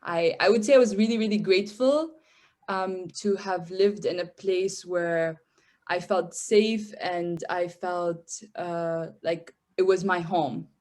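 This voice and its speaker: A woman's voice: on the low side, fairly even intonation, takes it slowly, a little mellow. A young woman from Syria, whose first language is Arabic, speaking as a podcast guest with a hesitant manner, often repeating words. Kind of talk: podcast interview.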